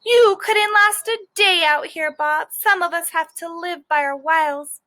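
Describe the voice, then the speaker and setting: A woman's voice: very high voice, a little slow, pushed and bright, dry recording, clean studio sound, voice pushed up, heavily acted. A woman voicing a small, prissy, wisecracking squirrel in a children's storybook, taunting like a know-it-all. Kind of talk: animated children's storybook read-aloud.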